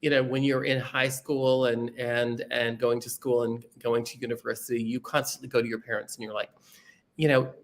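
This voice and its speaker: A man from Canada and England chatting casually as an interview guest, telling stories in an animated way with careful diction and ready laughter. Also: mid-range voice, normal speed. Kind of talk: casual chat, anecdotal storytelling.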